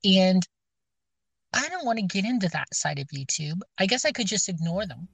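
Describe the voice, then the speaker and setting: A woman's voice: medium pitch, lively intonation, moderate pace, creaky, breathy edge, no room sound. A woman with a scratchy voice chatting casually, rambling and hesitant with many fillers and restarts. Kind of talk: casual chat. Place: outdoors, near her car.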